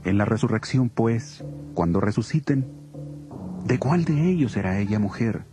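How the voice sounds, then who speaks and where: An actor playing a learned religious man who poses questions formally and deliberately in a dramatized scripture recording. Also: fairly low pitch, expressive, music in the background, close to an everyday voice. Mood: formal, probing. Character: Sadducee man, learned questioner posing a legal riddle.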